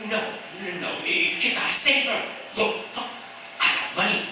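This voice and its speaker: A man's voice: high-pitched, unhurried, bright, forceful tone, exaggerated voice. A man playing a shifty, cowardly working-class husband in a radio drama, blustering and stammering in slang. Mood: frantic pleading. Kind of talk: radio theatre acting. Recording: echoey room, distant mic, clear noise.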